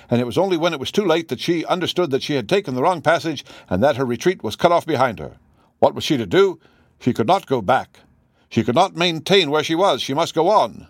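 A man's voice: on the high side, dry recording, studio quality, voice pushed up. A man reading aloud, voicing a male detective who lays out his reasoning in a precise, assured way. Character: keen male detective, calm and commanding.

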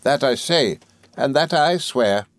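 A man's voice: mid-range voice, animated, slow, dry recording, pitched above the performer's own voice. A man voicing a stubborn, emphatic middle-aged female servant. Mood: insistent. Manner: insistent and emphatic.

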